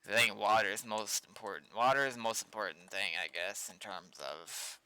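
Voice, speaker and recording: A man's voice: lowish voice, normal speed, bright, forceful tone, clear, resonant tone, low-quality recording. A young man rambling casually on a livestream, often trailing off into mumbled asides. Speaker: streamer and game developer.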